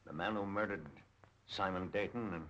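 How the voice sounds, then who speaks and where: Fearful male informant, lowish voice, halting, grave, vintage crime film, muffled soundtrack. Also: a bit bright, slight background noise. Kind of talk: 1930s mystery film acting. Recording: dull, lo-fi 1930s film soundtrack.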